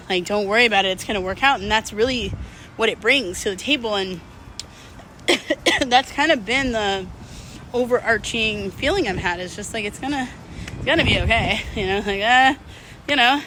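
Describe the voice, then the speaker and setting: A woman's voice: fairly high pitch, normal speed, sharp tone, a little hiss or hum, poor audio. A middle-aged woman talking casually and unscripted to her viewers, candid and slangy, rambling and drifting mid-thought. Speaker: vlog host.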